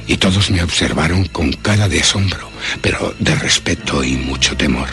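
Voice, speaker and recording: A man playing a haunted narrator who solemnly recalls a monstrous attack in a radio horror drama. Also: rumbling low. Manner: ornate, solemn, deliberate storytelling.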